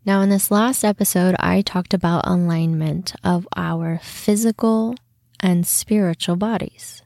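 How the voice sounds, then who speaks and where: A woman leads a guided meditation at a very slow pace, leaving long pauses between phrases. Her voice is mellow and slightly low, and her phrase endings soften into breath. The sound is dry and close. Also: steady tone, vocal fry, no echo. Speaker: podcast host and meditation guide.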